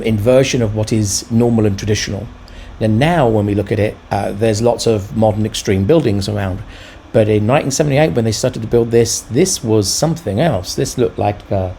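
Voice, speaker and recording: A middle-aged or older man giving a casual, reflective commentary as an artist, thoughtful and halting but enthusiastic. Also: on the low side, warm tone, a little hiss or hum. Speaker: urban sketching vlogger and artist.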